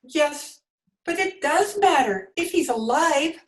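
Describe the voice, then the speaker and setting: A woman's voice: sits high, expressive, slow. A woman playing a brusque, dramatic señora in an old-time radio comedy, speaking emphatically. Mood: alarmed. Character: sharp, dramatic señora of a corrupt island regime.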